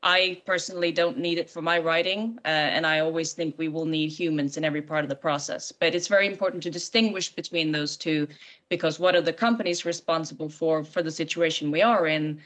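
A woman's voice: low-pitched, steady tone, brisk, fairly bright tone. A woman screenwriter speaking as a guest, earnest and emphatic, sometimes stumbling into restarts. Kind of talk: opinionated discussion.

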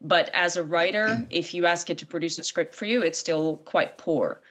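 A woman's voice: lowish voice, moderate pace, a bit bright. A woman screenwriter speaking as a guest, earnest and emphatic, sometimes stumbling into restarts. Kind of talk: opinionated discussion.

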